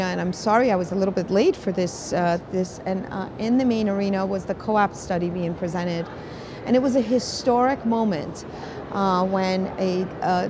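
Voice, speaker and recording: Effusive woman interviewer over clear background noise. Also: mid-range voice. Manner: effusive, emphatic. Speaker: host, interventional cardiologist. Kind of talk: enthusiastic interview at a medical conference. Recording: clearly noisy conference background.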